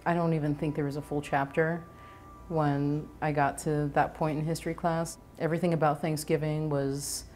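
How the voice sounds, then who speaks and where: Low-voiced woman chef, earnest and reflective. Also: darkish tone, slight background noise. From Venezuela. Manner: earnest, thoughtful, with brief pauses and restarts. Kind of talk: reflective documentary interview. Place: home kitchen and living room, indoors.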